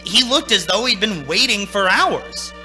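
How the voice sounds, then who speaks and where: Anxious haunted driver in a radio drama, high bright voice, dramatic, music behind. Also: male voice, lively intonation, a little slow, exaggerated voice. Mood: haunted, brooding. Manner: dramatic, wordy, nervous stammering. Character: haunted, anxious young man driving cross-country. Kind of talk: radio play acting, narrating and in scenes. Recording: stage radio play with music.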